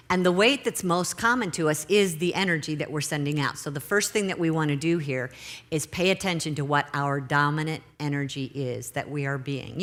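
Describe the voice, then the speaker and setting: Low-voiced woman minister, gentle sermon. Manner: gentle, unhurried, slows for emphasis. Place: church sanctuary.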